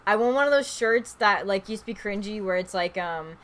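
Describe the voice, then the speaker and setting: A woman's voice: mid-range voice, animated, brisk, fairly bright tone. A woman co-hosting a let's play in casual, playful chat, with a dramatic, exclamatory way of talking. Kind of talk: casual chat, playful reading of character lines. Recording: faint background noise.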